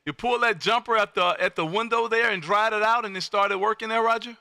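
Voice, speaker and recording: Bright, forceful American man, folksy radio host. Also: high-pitched, fairly even intonation, a little fast, gravelly, slight background noise. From Texas, United States. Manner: folksy, exuberant, repeats phrases. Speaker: amateur radio operator and livestream host. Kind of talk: live ham radio contact chatter.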